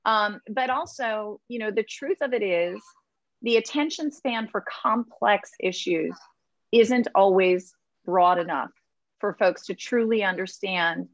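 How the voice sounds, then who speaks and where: A woman's voice: medium pitch, a little slow. A woman, a state representative, talking candidly in a virtual town hall, with lots of fillers. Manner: chatty, many fillers, candid. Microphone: video call.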